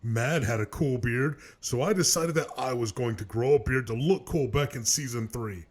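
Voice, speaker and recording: A man playing a vain, cowardly, excitable cartoon character with a theatrical, whiny and boastful delivery. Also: medium pitch, normal speed, close to an everyday voice. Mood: aggrieved. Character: vain, cowardly, excitable man who insults his rival. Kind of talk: comedic cartoon voice acting.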